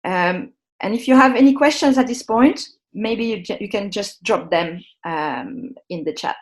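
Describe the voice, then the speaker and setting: Woman, online workshop presenter, friendly and halting, video-call mic. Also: mid-range voice. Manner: hesitant, many ums and restarts, friendly instructional. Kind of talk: live online workshop on a shared screen.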